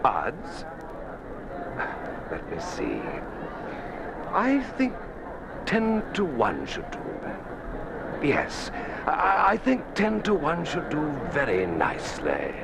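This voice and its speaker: Swooping, gloating communist commissar. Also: male voice, a little high, wildly swooping pitch, takes it slowly, vocal fry, pauses often, heavily acted. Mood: gloating, sinister. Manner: silky, mocking, theatrical, precise formal diction. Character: sardonic, gloating communist commissar, mock-courteous villain. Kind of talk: 1950s radio drama acting. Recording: noisy old radio recording.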